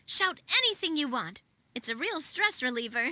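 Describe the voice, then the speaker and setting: A woman's voice: sits high, sing-song, moderate pace, very noisy. A woman voicing a confident, outgoing and playful young lady boss in a video game, exuberant and friendly. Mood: enthusiastic, persuasive. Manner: exuberant, friendly, self-assured. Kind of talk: video game character dialogue.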